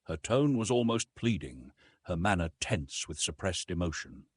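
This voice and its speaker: A man narrating a classic novel in an expressive, literary storytelling style. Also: sits low, moderate pace, slightly rough, no room sound. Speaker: audiobook narrator.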